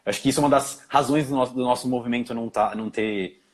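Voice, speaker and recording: Husky-voiced casual Brazilian man. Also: medium pitch. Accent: Brazilian Portuguese. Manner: loose, chatty, quick bursts with fillers like "tipo". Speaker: guest, filmmaker and actor. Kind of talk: casual live interview chat.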